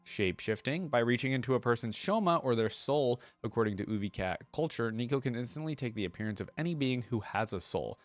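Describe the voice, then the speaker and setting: A man's voice: mid-range voice, animated, fast talker, few pauses, dry recording. A man hosting a reaction video, reading text aloud and adding casual, conversational commentary.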